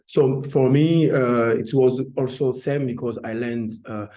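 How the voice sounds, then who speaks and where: A man from Congo with a Congolese French accent, an artist presenting in an informal webinar, speaking haltingly and searching for words in imperfect English. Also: medium pitch, steady tone, warm tone. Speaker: artist presenting their work.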